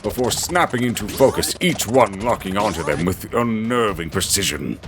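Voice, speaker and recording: A man's voice: on the low side, animated, normal speed, crowd noise. A man narrating a scripted fantasy audio drama in a dramatic storytelling style. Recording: music under the voice. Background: music.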